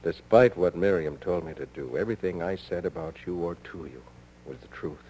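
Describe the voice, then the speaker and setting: A man's voice: low voice, a little fast, warm tone, slight background noise, lightly acted, low-quality recording. An actor playing an earnest, conflicted married man in a 1950s style radio soap, on a humming old recording. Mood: anguished, urgent.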